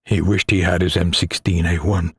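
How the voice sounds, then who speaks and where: A man reading a suspenseful adventure story as an audiobook narrator, in a grave, deliberate, dramatic manner. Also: low-pitched, flat delivery, slightly rough, no echo.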